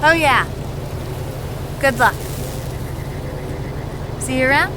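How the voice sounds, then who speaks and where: A woman's voice: high-pitched, expressive, slow pace, background noise. An actress playing a brash, reckless young woman in a video game cutscene, sarcastic and flippant. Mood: subdued, wry.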